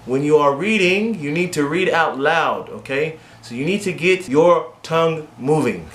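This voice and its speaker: Male English teacher, mid pitch, direct coaching delivery, reverberant room, light background noise. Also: expressive, a little slow, fairly bright tone. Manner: direct, repetitive coaching with frequent "okay?" checks. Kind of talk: instructional video lesson. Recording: echoey room with faint ambient noise.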